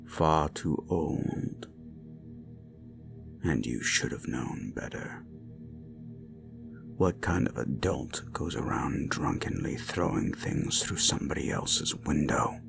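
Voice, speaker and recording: Very deep, gravelly slasher villain. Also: male voice, heavily acted. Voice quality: gravelly, breathy half-whisper. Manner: slow, menacing, taunting. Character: cruel menacing male slasher killer taunting a captive. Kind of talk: horror audio roleplay. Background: music.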